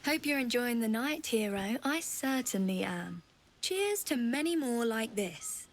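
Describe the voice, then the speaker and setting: An actress playing a friendly, convivial reveller in a fantasy game. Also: female voice, fairly high pitch, lively intonation, measured pace, slight background noise. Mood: cheerful.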